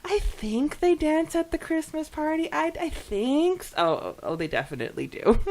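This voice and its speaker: A woman's voice: high-pitched, sing-song, a little slow, a little mellow. A woman co-hosting a podcast in a giggly, chatty way, moving between casual talk and reading comments aloud. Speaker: podcast co-host.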